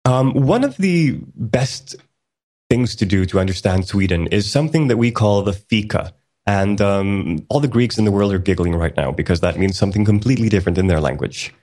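A man co-hosting a casual podcast, explaining at length in a relaxed way with many ums and restarts. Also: on the low side, brisk. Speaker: podcast co-host.

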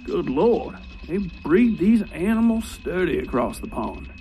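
A man's voice: high-pitched, expressive, unhurried, dark tone, a little hiss or hum, voice pushed up. A man voicing a cruel, pompous, ageing major general in a horror story, with grandiose, oratorical speech. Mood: smug reminiscing. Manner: grandiose, oratorical, archaic turns of phrase. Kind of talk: dramatised audio horror story. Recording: music under the voice.

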